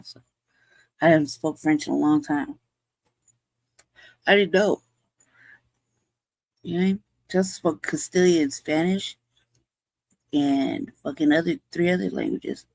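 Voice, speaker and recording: A woman's voice: low voice, darkish tone, no echo. A woman hosting a show, talking in blunt, profane, rambling commentary and laughing often. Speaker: show host.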